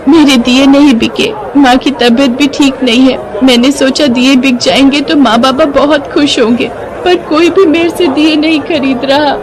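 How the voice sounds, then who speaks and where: Woman as a little girl, high voice, innocent, pleading, childlike, storybook daughter. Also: fairly even intonation, moderate pace, a crowd in the background, lightly acted, rough recording. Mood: sad, pleading.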